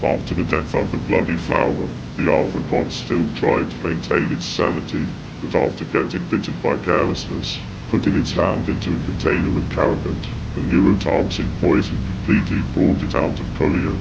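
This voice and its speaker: Male narrator for scripted horror, deep and heavily fried whispery rasp, grave delivery, muffled noisy audio. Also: normal speed. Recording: dull, noisy lo-fi audio with rumble.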